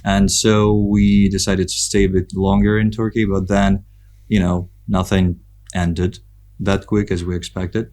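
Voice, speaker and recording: A man from Ukraine with a Ukrainian accent, talking casually and reflectively as a podcast guest, pausing often as he thinks. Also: sits low, little pitch movement, darkish tone. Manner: hesitant, reflective, with frequent pauses. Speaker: podcast guest, photographer and content creator. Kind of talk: casual conversational interview.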